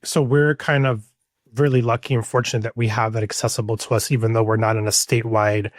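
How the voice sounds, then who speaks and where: A man's voice: mid-range voice, flat delivery, quick pace, no room sound. Male podcast host, dry sound. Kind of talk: conversational podcast interview.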